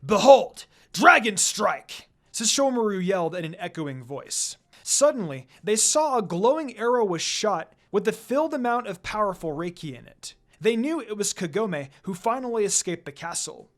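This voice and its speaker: Woman narrator, scripted fan fiction reading, dramatic, very lively intonation, mid pitch. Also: normal speed, few pauses.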